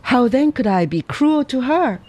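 A reader voicing a formal, grieving husband in a low, subdued way. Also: lively intonation, measured pace, a little mellow.

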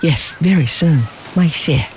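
A narrator voicing a loving but troubled young husband in an audiobook, speaking quietly and murmuring. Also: mid-range voice, lively intonation, a little slow, slight background noise, lightly acted, poor audio. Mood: tender. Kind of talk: audiobook character voice.

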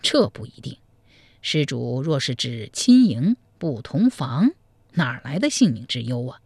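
A man storyteller voicing a sly, scheming male Buddhist monk who counsels in a slow, hushed manner. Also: medium pitch, sing-song, creaky, husky, dry recording, voice pushed down, exaggerated voice. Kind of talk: storytelling, acted dialogue.

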